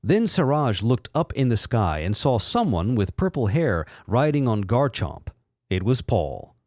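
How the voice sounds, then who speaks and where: A man's voice: medium pitch, very animated, a little slow, a little mellow, no echo, studio quality. A man giving a sardonic, mock-dramatic narration with a theatrical delivery. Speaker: reader and commentator.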